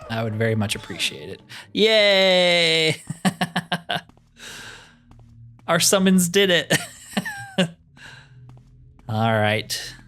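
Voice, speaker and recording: A man's voice: mid-range voice, a bit bright. Casual gamer guy over background music. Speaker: gaming video host. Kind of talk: casual gameplay commentary.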